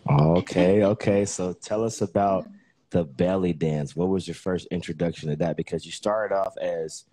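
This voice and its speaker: A man hosting a podcast interview, relaxed and encouraging with easy back-and-forth chat. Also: sits low, normal speed, husky, dry recording. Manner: relaxed, encouraging, says "that's what's up". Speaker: podcast host. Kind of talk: casual interview.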